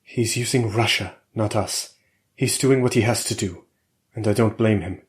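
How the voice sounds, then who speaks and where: A man's voice: lowish voice, moderate pace, lightly acted. A man voicing a hopeful Russian scientist husband who stubbornly reassures his wife, in a spoken story. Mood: reassuring.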